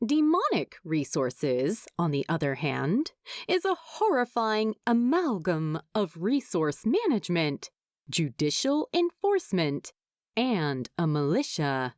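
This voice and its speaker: A woman reading a story aloud as an expressive, wry narrator. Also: on the low side, slow, dry recording, studio quality. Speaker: fan fiction narrator.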